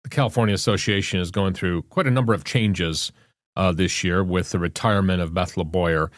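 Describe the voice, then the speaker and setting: A man hosting a podcast, reading a measured scripted introduction. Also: low-pitched, faint music behind. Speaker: podcast host.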